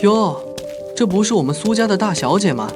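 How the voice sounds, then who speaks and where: An actor playing an arrogant young village bully who taunts with a sneer, over loud background music. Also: male voice, high-pitched, lively intonation, a little fast. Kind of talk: animated drama dubbing.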